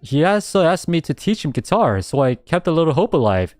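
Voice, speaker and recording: A young man streaming a casual radio-style chat, reading out listener submissions, laughing often and reacting in an animated way. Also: fairly quick, no room sound, clean studio sound, soft background music. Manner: animated, laughs often, jumps high when excited.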